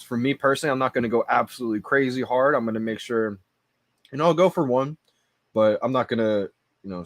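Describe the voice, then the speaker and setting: A man's voice: mid-range voice, dry recording. Casual male livestreamer, dry close sound. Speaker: livestream host.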